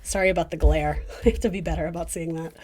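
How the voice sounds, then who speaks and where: A woman's voice: low voice, fairly even intonation, fast talker, dark tone, soft background music. A woman hosting a casual tarot reading, talking directly to the listener with encouraging, conversational advice. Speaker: tarot reader and video host.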